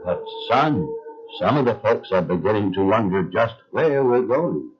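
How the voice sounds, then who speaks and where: Guy doing tired old bible dad, kindly, rambles a bit, pitch goes up and down, lo-fi radio play. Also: big pitch swings, a little slow, slightly rough, soft background music, full character voice. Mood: gentle resolve. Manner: hesitant, rambling, with repeated words. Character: aged, weary, gentle father of a patriarch. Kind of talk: biblical radio drama acting. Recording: dull lo-fi recording.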